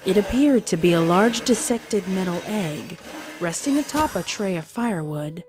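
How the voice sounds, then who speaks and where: A woman's voice: mid-range voice, animated, normal speed, music in the background. A woman narrator reading a dramatic fan fiction story in a scripted style.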